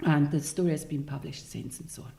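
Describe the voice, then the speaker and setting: A Swiss-born woman with a Swiss German accent, a novelist answering interview questions in a thoughtful, halting way that often corrects itself. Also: low-pitched, fairly even intonation, creaky, warm tone, in a whisper. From Switzerland. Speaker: novelist guest.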